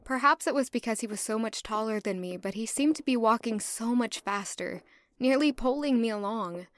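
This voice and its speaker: Young female castle servant played by a woman, slightly high-pitched, nervously musing storyteller for a fantasy audio drama. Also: normal speed, lightly acted. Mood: reflective. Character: young female castle servant, anxious, curious, chatty narrator. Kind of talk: first-person audio drama narration and dialogue.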